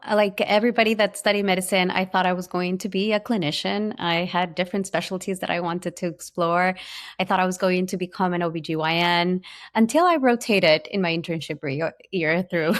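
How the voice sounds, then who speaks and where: A woman with an Ecuadorian Spanish accent, a physician scientist telling her story in an interview, earnest and full of small restarts. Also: fairly low pitch. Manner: earnest, many fillers and restarts. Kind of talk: personal storytelling in conversation.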